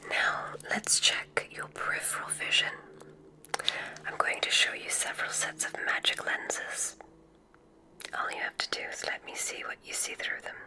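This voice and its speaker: A woman whispering an ASMR roleplay as a kindly witch examiner, giving gentle, reassuring instructions in a soft, unhurried way. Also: low-quality recording. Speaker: ASMR performer in a witch medical exam roleplay.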